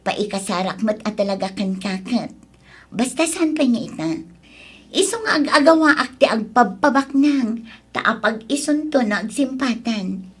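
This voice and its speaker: A woman's voice: a little high, animated, moderate pace, breathy edge. An actress with an Ilocano accent playing a confident, ambitious young girlfriend who sweet-talks breezily in a radio drama. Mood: ambitious, dismissive.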